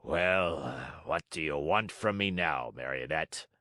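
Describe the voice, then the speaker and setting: A man voicing a gruff, bearded puppet-show director with a hoarse, booming voice, bad-tempered but softhearted. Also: slow pace, no echo, studio quality, exaggerated voice.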